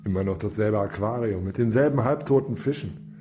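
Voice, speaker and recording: An actor playing a businesslike detective in charge, low-key and questioning, in a radio drama. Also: male voice, brisk, lightly acted. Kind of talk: naturalistic radio drama dialogue. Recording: echoey room with faint music.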